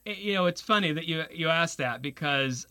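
A man interviewed as a guest on a radio show, explaining his work in an earnest, measured way with small restarts. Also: fairly high pitch, expressive, pushed and bright, clean tone. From Taiwan. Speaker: guest, securities lawyer.

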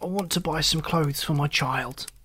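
A horrified, flustered gentleman as a storybook narrator plays him. He speaks nervously and haltingly, as if unable to believe his situation. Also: medium pitch, slightly rough. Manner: nervous, hesitant, incredulous. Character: frantic, horrified, flustered new father, a gentleman.

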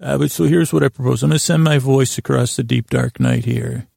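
His voice crackles with heavy fry, very dry and close to the ear. He is a man hosting a sleep podcast, wandering haltingly from one tangent to the next. The pitch sits in an ordinary male middle range. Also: fairly even intonation, warm tone, no echo. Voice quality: creaky, gravelly voice. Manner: meandering, halting, goes off on tangents. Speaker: podcast host. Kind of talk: rambling bedtime monologue to help listeners sleep.

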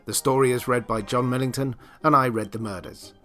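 A man's voice: medium pitch, steady tone, fairly quick, bright, forceful tone. A man reading scripted closing credits as a podcast announcer. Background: faint music.

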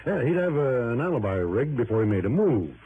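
An actor playing a cooperative, talkative male assistant warden in a vintage radio drama, earnest and deliberate. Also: mid-range voice, very animated, breathy edge, exaggerated voice. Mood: earnest, emphatic. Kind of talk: 1950s-60s radio drama acting. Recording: noisy, tinny vintage radio recording.

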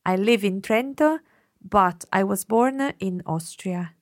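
A woman's voice: medium pitch, no room sound, studio quality. Woman teaching translation. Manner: reads prompts slowly and repeats them, corrects gently. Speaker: language teacher.

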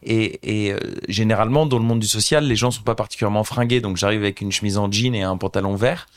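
A French man in his thirties, a social entrepreneur telling an animated anecdote as an interview guest. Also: on the low side, fast talker. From France. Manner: animated anecdotal storyteller, addresses host directly. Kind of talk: casual storytelling interview.

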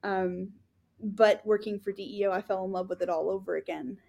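A woman co-hosting a podcast, telling her own story in an earnest, casual way, often pausing with ums and restarting her sentences. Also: medium pitch, steady tone, gravelly, a little mellow. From Florida.

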